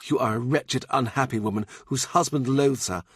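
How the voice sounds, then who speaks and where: A man voicing a hot-tempered, haughty young noblewoman in an audiobook, with sharp, emphatic delivery. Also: medium pitch, normal speed, slightly rough, no room sound, clean studio sound, pitched above the performer's own voice, close to an everyday voice. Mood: scornful.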